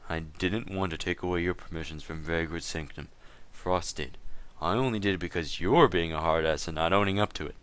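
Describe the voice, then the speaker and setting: A man's voice: sits low, a little fast, fairly bright tone, smooth. A man doing a dramatic reading as a stern, stubborn town leader, matter-of-fact and lecturing in an online-game dispute. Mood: firm, lecturing. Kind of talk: dramatic reading of a gaming chat log.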